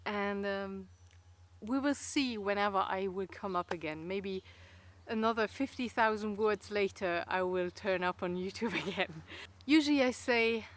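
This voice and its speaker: A woman's voice: mid-range voice, unhurried. A woman from Luxembourg with a Luxembourgish accent, whose first language is Luxembourgish, chatting casually to camera in a rambling, hesitant way with many fillers and restarts. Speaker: vlogger.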